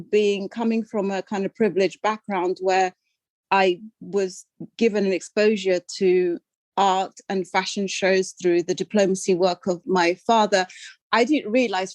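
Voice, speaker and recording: Older woman guest from Sudan raised in London, mid-pitched, dry close sound, thoughtful and self-deprecating. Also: darkish tone, no echo. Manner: reflective, self-deprecating, with many ums and restarts. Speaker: podcast guest. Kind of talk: conversational interview.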